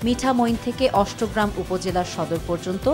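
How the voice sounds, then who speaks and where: A woman with a Bangladeshi accent narrates a scripted TV news report. Her pitch is average for a woman, and the music behind her is loud. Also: normal speed.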